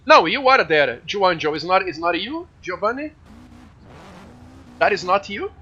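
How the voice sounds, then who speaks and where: A man with a Brazilian Portuguese accent, a game streamer chatting casually and excitedly with viewers, swearing freely. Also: sits high, a little slow, lo-fi sound.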